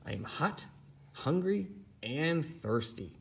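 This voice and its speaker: Man acting as small teddy bear, bedtime storybook, gravelly, lively swings, eager, easily bored. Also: fairly high pitch, slow, slight background noise, voice pushed up, full character voice. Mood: grumbling. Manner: expressive, exclaiming and questioning. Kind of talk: bedtime storybook read-aloud.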